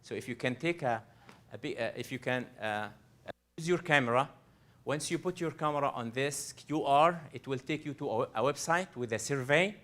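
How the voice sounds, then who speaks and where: A man's voice: mid-range voice, normal speed, fairly bright tone. A man from Egypt, a coach presenting a live workshop to an audience. He speaks earnestly, often restarting and repeating phrases as he addresses the room directly. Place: library event room.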